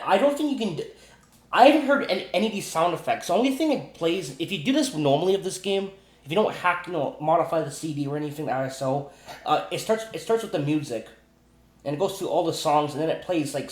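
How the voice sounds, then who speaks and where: Male gamer, pitched a bit high, casual unscripted commentary that rambles and doubles back, recorded with strong room echo. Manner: rambling, halting, repeats himself. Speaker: gaming video creator. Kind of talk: casual off-the-cuff gameplay commentary.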